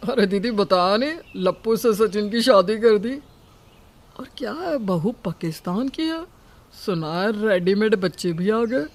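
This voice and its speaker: एक महिला, कार्टून नैतिक कहानी में चुगली करने वाली, ताना मारती रिश्तेदार औरत का अभिनय करती हुई।. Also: female voice, medium pitch, lively intonation, a little slow, slight background noise. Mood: mocking. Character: gossiping, mocking female relative. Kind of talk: animated moral story.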